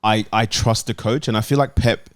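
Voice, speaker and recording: Low-pitched man giving casual football opinions. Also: steady tone, moderate pace, no echo, clean studio sound. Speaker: football talk show panelist.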